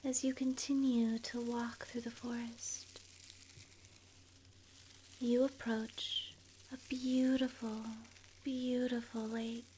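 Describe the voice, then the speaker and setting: A woman softly narrating an ASMR guided meditation in a breathy, whispery voice, gentle and soothing, leaving long pauses. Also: a little high, level tone, slight background noise, rough recording.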